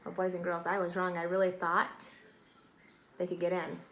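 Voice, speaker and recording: A woman in her thirties or forties reads a children's storybook expressively, with deliberate dramatic emphasis, speaking directly to young listeners. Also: on the low side, monotone, a bit bright. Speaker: teacher reading a picture book aloud to children. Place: small indoor room. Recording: roomy echo, faint noise.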